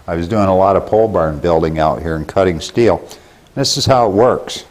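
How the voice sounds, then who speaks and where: Middle-aged American male how-to presenter, lowish voice, plain-spoken and folksy, casual demo delivery on a lapel mic. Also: normal speed, slight background noise. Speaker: presenter of how-to videos for a store. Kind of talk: casual tool demonstration to camera. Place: plain indoor workshop room.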